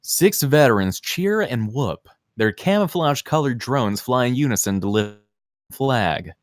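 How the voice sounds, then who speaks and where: A man's voice: medium pitch, animated, unhurried, no echo, studio quality. A man narrating scene descriptions and stage directions at a screenplay table read.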